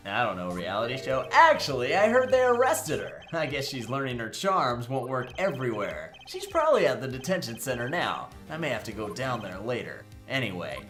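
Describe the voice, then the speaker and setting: Cocky video game defense attorney, young man played by a woman, joking and theatrical, swinging widely in pitch. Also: huge pitch swings, brisk, hardly any pauses, fairly bright tone, soft background music, exaggerated voice. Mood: casual, reassuring. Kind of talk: comedic fandub voice acting.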